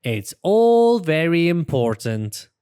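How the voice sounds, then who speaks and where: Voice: medium pitch, very animated, slow, mellow, smooth, voice pushed up. A storybook reader voicing a kindly, easygoing mother pig in a reassuring, polite manner. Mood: assured.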